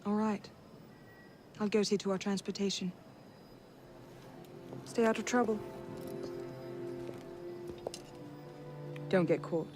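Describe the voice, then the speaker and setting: A woman's voice: mid-range voice, steady tone, unhurried, a little mellow, lightly acted. A female actor plays a determined young noblewoman in a video game drama. She is proud and stern yet caring, with firm, articulate delivery. Prominent music plays behind her. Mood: resolute.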